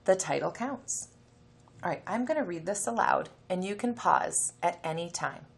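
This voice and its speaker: A woman's voice: lowish voice, lively intonation, normal speed, vocal fry. A woman teacher giving a reading lesson, explaining instructions to children, sounding out letters and reading a passage aloud. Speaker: elementary teacher.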